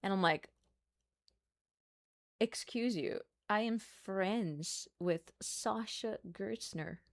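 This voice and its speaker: A woman's voice: medium pitch, extremely slow. A young woman with a Brazilian accent chatting casually on a live stream, laughing often and making playful asides.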